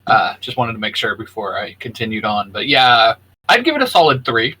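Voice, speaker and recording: Guy with a bright forward voice, mid pitch, just chatting games as co-host. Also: lively intonation, fairly quick, slightly rough, low-quality recording. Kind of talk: casual gaming chat.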